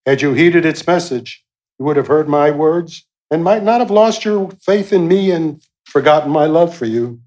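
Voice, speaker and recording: A man performs God for a radio play, in a slightly high male voice. He is wry and affectionate, chiding with a folksy, teasing reassurance. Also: expressive, normal speed, darkish tone, close to an everyday voice, rough recording.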